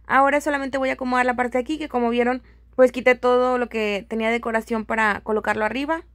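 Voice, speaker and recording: A woman's voice: fairly high pitch, fairly even intonation, quick pace, a bit bright, no echo, studio quality. A woman with a Mexican accent giving a casual, chatty, friendly voice-over as a home decor vlogger.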